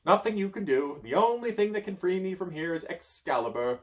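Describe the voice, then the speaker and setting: A man's voice: high-pitched, very animated, brisk, voice pushed up. A man voicing a grouchy, boastful old male wizard stuck in a bush, reading a storybook aloud. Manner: pompous, cranky, sneezy.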